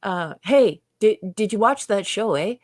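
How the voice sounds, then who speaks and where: A woman's voice: medium pitch, expressive, a little slow, no echo, studio quality. A woman from Canada with a Canadian accent, co-hosting a casual livestream chat, reading out viewer comments and laughing often. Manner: chatty, laughs often, reads chat aloud. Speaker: co-host.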